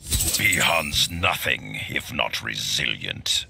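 An actor playing a stern, honourable ice ninja warrior in a video game, grave and deliberate, with a gravelly voice. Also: male voice, rumbling low, lively intonation, full character voice. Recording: game audio with loud background noise.

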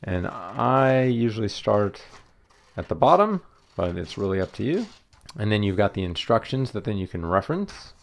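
A man's voice: fairly low pitch, normal speed, gravelly. A man who hosts a channel, casually walking through a step-by-step craft demonstration in a craft room. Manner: relaxed, friendly step-by-step explaining. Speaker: channel host. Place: indoor craft room at a table.

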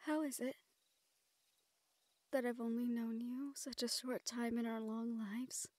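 A woman's voice: fairly high pitch, fairly even intonation, a little slow, dry recording, natural voice. A woman playing a shy, sweet, self-conscious young nephilim woman in love, speaking hesitantly and tenderly in a romantic audio roleplay. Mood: tender, hushed. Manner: hesitant, tender, breathy hushed passages.

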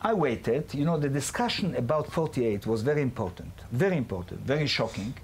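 Man lecturing in halting English. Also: mid-range voice, expressive, slight background noise. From Israel. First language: not English. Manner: halting, non-native phrasing with restarts. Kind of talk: public lecture.

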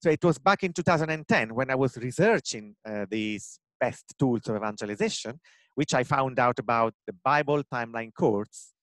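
A man's voice: mid-range voice, expressive, normal speed, a bit bright, no echo. Italian-accented man in his forties, earnest interviewee on a headset mic, dry sound. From Italy, Rome. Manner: earnest, many fillers and restarts. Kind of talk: video call interview. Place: home room with bookshelves.

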